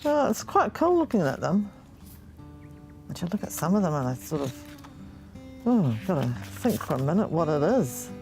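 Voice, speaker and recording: A woman speaking openly and emotionally in an interview, halting now and then as she reflects. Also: lowish voice, sing-song, music in the background. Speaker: project participant. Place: near a park in New Zealand.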